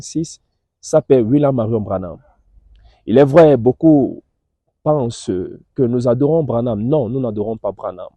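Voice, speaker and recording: Guy hosting a church tv show, really dark soft-edged voice, very dry sound, solemn and deliberate preachy talk. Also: medium pitch, dry recording. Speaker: religious TV programme host. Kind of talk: preaching introduction to viewers.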